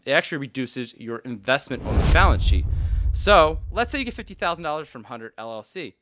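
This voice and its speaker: A man's voice: medium pitch, bright, forceful tone, dry recording. A man giving an explanatory tutorial as an instructor, talking informally through worked examples step by step. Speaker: accounting instructor.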